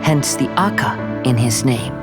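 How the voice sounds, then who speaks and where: A man playing a calm, courteous young swordsman in a video game, composed as a storyteller. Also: medium pitch, unhurried, close to an everyday voice. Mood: composed, solemn. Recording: loud music behind.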